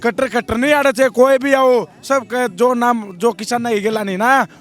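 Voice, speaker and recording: Hoarse young Haryanvi man, very high voice. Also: steady tone, normal speed. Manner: blunt, defiant, rambling. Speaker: protesting farmer. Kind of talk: outdoor street interview.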